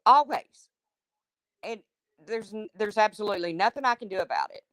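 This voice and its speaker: A woman with a deep, husky smoker's voice hosting a livestream, reading chat comments and answering them in a blunt, chatty way. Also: lively intonation, normal speed, fairly bright tone, no room sound, studio quality. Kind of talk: casual chat with viewers.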